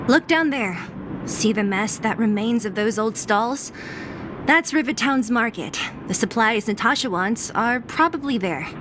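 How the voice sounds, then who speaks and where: A woman's voice: a little high, lively intonation, unhurried, background noise. An actress playing a brash, streetwise young woman fighter in a video game, teasing and blunt. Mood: brisk, probing. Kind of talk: video game cutscene voice acting.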